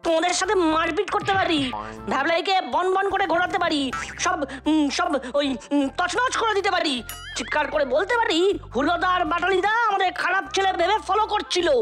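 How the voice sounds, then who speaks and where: Little cartoon boy, earnest, mischievous, very high voice, faint music. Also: moderate pace, fairly bright tone. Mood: indignant. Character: earnest, mischievous ten-year-old boy, ringleader's best pal.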